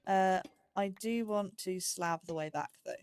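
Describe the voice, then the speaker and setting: A woman's voice: medium pitch, unhurried, darkish tone, clear, resonant tone. A woman giving casual let's play commentary, chatting and musing aloud in a self-deprecating way. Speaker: gaming YouTuber, host of a let's play series.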